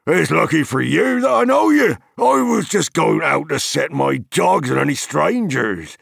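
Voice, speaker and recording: A gruff, hearty hobbit farmer from a fantasy audiobook, acted out by a male narrator. He talks bluntly and rustically with a gravelly edge and big swings in pitch, wary of strangers yet hospitable, recorded close and dry. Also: big pitch swings, unhurried, fairly bright tone, dry recording, full character voice. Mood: surprised, friendly. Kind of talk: dramatized fantasy audiobook character.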